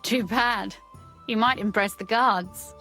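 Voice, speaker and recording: An actress playing a caring young woman with dry wit in a video game, frank and gently teasing. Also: fairly high pitch, takes it slowly, soft background music, full character voice.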